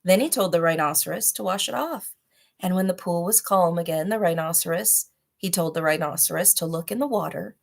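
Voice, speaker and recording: Woman, slightly low pitch, children's story narrator, expressive read-aloud delivery. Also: vocal fry. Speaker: storybook reader.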